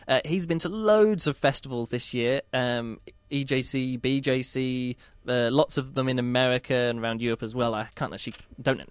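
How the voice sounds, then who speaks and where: A man's voice: mid-range voice, clean tone, no room sound. Chatty man hosting a podcast. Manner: chatty and animated, lots of fillers and restarts. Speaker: podcast host. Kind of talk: casual podcast chat counting down a chart.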